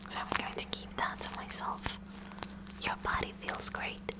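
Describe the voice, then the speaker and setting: ASMR roleplay: female performer as nerdy, kooky science club president, fully whispered, unhurried, haltingly curious and awkward. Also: natural voice. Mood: flustered fascination.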